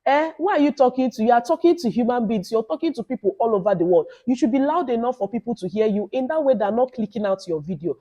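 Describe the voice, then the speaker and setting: Loud, mellow-voiced Nigerian woman. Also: a little high, highs cut off. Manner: emphatic, instructive, repeats phrases and adds "okay?". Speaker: YouTuber giving advice to new creators. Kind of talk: informal advice vlog with Nigerian Pidgin asides.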